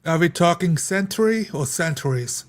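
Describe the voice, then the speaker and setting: A man's voice: on the high side, expressive, moderate pace. A man playing a tabletop roleplaying game in a casual way.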